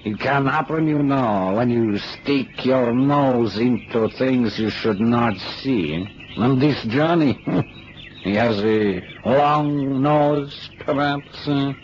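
Actor as slow-drawling sly Mexican man, muffled recording. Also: medium pitch, natural voice. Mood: sly, veiled threat. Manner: drawling, teasing, punctuates with eh and chuckles. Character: sly, mocking Mexican man, boastful, talkative, veiled menace. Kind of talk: radio drama acting. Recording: muffled old radio recording, faint noise.

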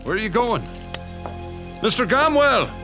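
A man's voice: very high-pitched, unhurried, music in the background, exaggerated voice. A male actor playing a folksy, superstitious Irish policeman in an old-style radio drama, with an Irish accent. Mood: concerned. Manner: colorful, animated, folksy. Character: earthy, superstitious Irish beat policeman, talkative and deferential.

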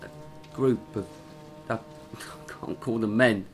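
A man's voice: lowish voice, fairly even intonation, slight background noise. An actor playing a nervous drifter who stammers and halts as he recounts something horrifying. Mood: nervous, shaken. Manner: halting, stammering, frightened.

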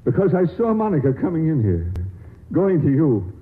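An actor playing a jealous, earnest, aggrieved fiancé in a petulant, combative 1940s radio style. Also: male voice, fairly high pitch, wildly swooping pitch, normal speed. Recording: tinny old radio broadcast.

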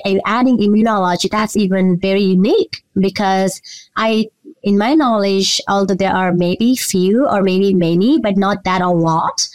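A woman's voice: mid-range voice, no echo, rough recording. A woman from Nepal, hosting an interview in an enthusiastic, rambling way. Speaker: podcast host. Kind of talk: interview chat.

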